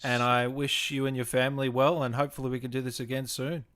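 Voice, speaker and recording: A man's voice: mid-range voice, level tone, fast, a bit bright. A man in his twenties chatting casually as the host of a gaming show. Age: 20s. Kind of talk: casual livestream chat.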